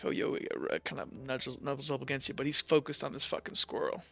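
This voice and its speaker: A man narrating a casual improvised tabletop roleplay as game master, with halting, stammering restarts. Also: mid-range voice, creaky, pushed and bright, lo-fi sound, faint music behind.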